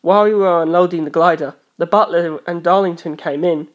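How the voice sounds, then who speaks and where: A woman's voice: lowish voice, fairly quick. A woman reading scripted first-person story narration as an audiobook narrator.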